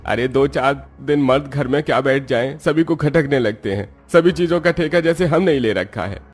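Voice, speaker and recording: A man's voice: mid-range voice, moderate pace, faint music behind, close to an everyday voice. A man playing a hard-up, testy but caring village labourer in a cartoon story, earnest and defensive. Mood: irritated, defensive.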